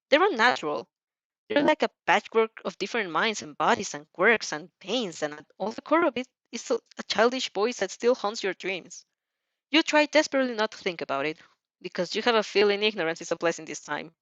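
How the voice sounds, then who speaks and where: A woman's voice: medium pitch, a bit bright, no room sound, highs cut off. A woman reading fan fiction aloud expressively, giggly and casual, stumbling over words and rereading. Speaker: fan fiction reader and reactor.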